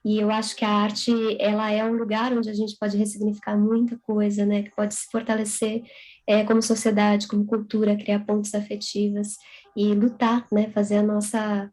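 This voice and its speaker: Young brazilian woman writer on video call, flat even voice, reads and chats. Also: medium pitch, normal speed, low-quality recording. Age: young adult. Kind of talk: reading own prose aloud, then conversation.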